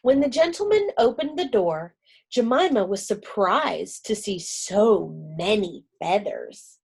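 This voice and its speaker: A woman reading a children's storybook aloud, gentle and expressive. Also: mid-range voice, slow pace, vocal fry, husky.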